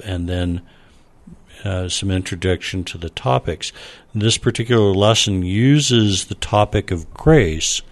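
A man who is a scholar and panel guest, explaining in a measured way with frequent fillers. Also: lowish voice. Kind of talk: panel discussion.

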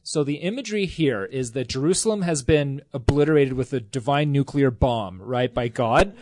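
A man's voice: mid-range voice, normal speed, a little mellow, no echo, clean studio sound. A man in a casual scripture study discussion, talking with enthusiasm, rambling, with lots of fillers and restarts.

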